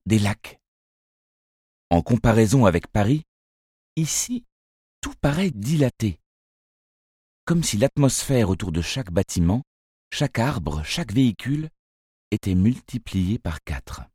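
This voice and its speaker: A man reading a children's novel aloud as first-person narrator, in a measured, expressive storytelling manner. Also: lowish voice, husky, no echo, clean studio sound. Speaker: audiobook narrator.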